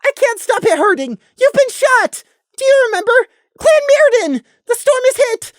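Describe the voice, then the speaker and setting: A woman voicing a frantic, furious male pony who shouts shrilly with a raw, strained throat. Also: extremely high pitch, big pitch swings, slow pace, no room sound, pitched above the performer's own voice, heavily acted. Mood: frantic shouting.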